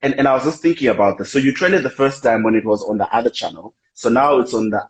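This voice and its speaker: A man hosting a casual live interview over a video call, chatty and quick to drop short affirmations. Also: mid-range voice. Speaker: magazine online editor and interview host. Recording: echoey room.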